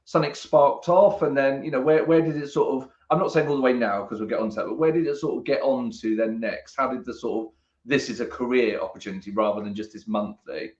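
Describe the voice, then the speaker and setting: A man's voice: medium pitch, quick pace, a little mellow. Man hosting, roomy dull call audio. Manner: rambling, restarts his questions. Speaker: podcast host. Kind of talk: interview questions. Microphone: video call.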